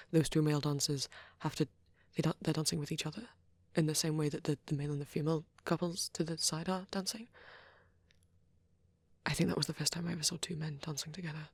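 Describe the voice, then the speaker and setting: A woman with a low, husky voice speaks softly and close to the microphone in a very dry recording. She rambles in an ASMR style, shy and hesitant, often sinking into a breathy half-whisper. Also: dry recording. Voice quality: soft, breathy. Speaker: ASMR roleplay creator. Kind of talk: soft rambling ASMR chat.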